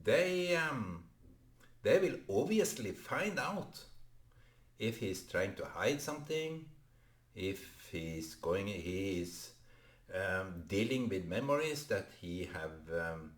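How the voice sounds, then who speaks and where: A man whose first language is Norwegian, talking to camera in a halting vlog monologue full of fillers and restarts. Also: deep voice, big pitch swings, reverberant. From Norway. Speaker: vlogger. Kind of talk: unscripted solo vlog monologue.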